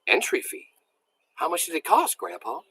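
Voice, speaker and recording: An eager, earnest country boy from a storybook, voiced by a man. He blurts and questions with a bright tone and animated, rising pitch, recorded dry. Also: normal speed, slightly rough, no room sound, full character voice. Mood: curious. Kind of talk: storybook read-aloud.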